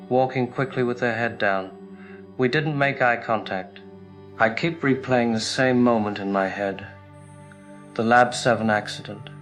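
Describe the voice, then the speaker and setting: An actor playing a guilt-ridden scientist, recording a bleak personal log in short clipped sentences over music. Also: male voice, medium pitch, fairly bright tone, lightly acted. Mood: grieving, guilty. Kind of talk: audio drama monologue, diary narration.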